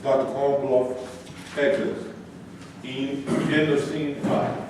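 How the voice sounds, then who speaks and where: Male narrator for a staged reading, mid-pitched, very echoey room. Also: flat delivery, takes it slowly. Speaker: stage directions reader. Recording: very echoey room, distant mic, faint noise.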